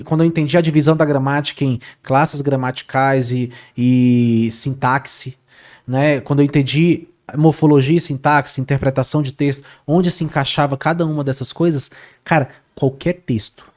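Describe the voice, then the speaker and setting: A man talking casually as an interview guest, telling a story with lots of repetition and filler words. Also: medium pitch. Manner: animated, repeats words, says "cara" often. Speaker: guest, Portuguese teacher.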